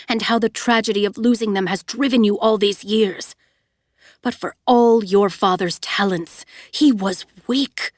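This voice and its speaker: A woman voicing a remorseless male villain who speaks in a low, soothing, unnervingly calm voice. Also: no echo, studio quality, natural voice.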